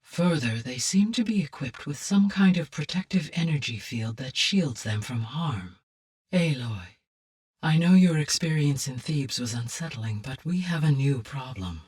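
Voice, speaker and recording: A calm, caring female artificial intelligence from a video game. Her low voice is husky and sounds slightly distant. She speaks in formal, precise sentences with measured composure. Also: heavily acted. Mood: composed, measured. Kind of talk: measured, scripted game dialogue.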